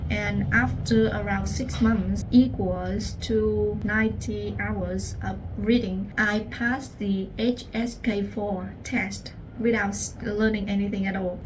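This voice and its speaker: A young Vietnamese woman, a language teacher, telling her personal story in English with a Vietnamese accent, speaking slowly and earnestly to the viewer. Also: mid-range voice, far from the mic. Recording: voice a little off-mic, faint room noise.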